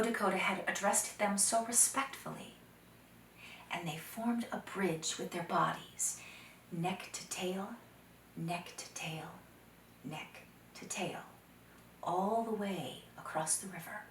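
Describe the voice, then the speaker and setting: A woman telling a folktale to children, dramatic, expressive and deliberate, sometimes dropping to hushed phrases. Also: mid-range voice, slight background noise. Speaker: storyteller. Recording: roomy echo, distant microphone.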